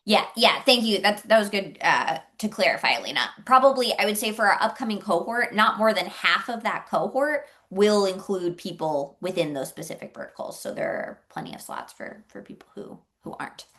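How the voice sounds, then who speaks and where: A woman's voice: medium pitch, expressive, brisk, few pauses, fairly bright tone. A woman presenting on an informational call in an informal, explanatory way, conversational and a little hesitant in her phrasing. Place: online group call.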